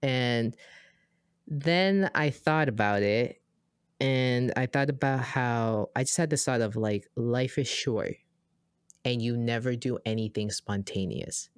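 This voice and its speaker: Chatty American woman, animated storyteller. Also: slow pace, smooth, no room sound, studio quality. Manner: animated, acts out quoted dialogue with big pitch jumps; chatty, many fillers and asides. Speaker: podcast co-host. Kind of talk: casual conversational storytelling.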